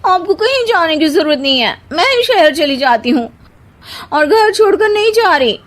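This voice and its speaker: A high-pitched, theatrical young cartoon wife. She whines and gushes dramatically, and her bright, high voice rises over everyone else's. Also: very animated, moderate pace. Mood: dramatic. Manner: dramatic, whiny. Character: dramatic young wife obsessed with street snacks. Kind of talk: animated cartoon dubbing.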